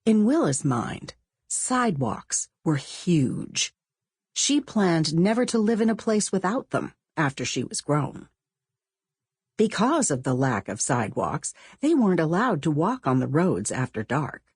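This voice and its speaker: A woman reading a novel as an audiobook narrator, with measured, expressive storytelling. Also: sits low, breathy edge, dry recording, clean studio sound. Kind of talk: scripted literary narration.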